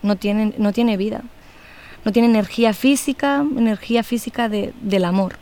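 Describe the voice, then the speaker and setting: A woman's voice: medium pitch, moderate pace, dark tone. A woman reciting testimony for a sound documentary.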